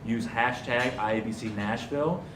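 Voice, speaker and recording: A man's voice: medium pitch, normal speed. A young man speaking on a conference panel in a confident, punchy, joking way. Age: young adult. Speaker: panelist, communications officer. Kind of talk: panel discussion talk. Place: conference room before a live audience. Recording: echoey room, distant mic, noisy.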